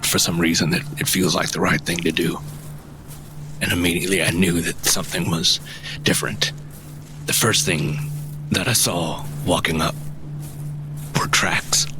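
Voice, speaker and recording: Give the listener a man acting as a reticent fantasy mushroom forager from an audio drama. His voice sits very low and he speaks haltingly, feeling for his words. Music plays clearly behind him. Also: fairly even intonation, close to an everyday voice, low-quality recording. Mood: uneasy storytelling. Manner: hesitant, halting, not much for talking. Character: shy, halting male mushroom forager and craftsman in a fantasy world.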